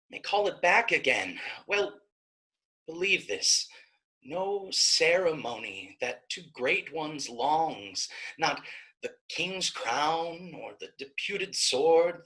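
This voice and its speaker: Actress as young Shakespearean heroine: pleading, righteous, rhetorical, highly animated pitch, forceful edge, measured pace. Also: female voice, heavily acted, poor audio. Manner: impassioned, rhetorical verse delivery. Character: pleading young maiden Isabella, passionate and righteous. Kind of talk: Shakespeare staged reading.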